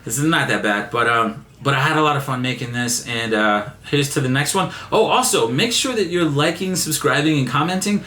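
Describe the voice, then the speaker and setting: A young man vlogging casually, excited and repeating himself, his voice still a bit raspy from laryngitis. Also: medium pitch, a little fast, few pauses. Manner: excited, repeats words, says man a lot. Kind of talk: casual vlog chat to camera. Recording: echoey room.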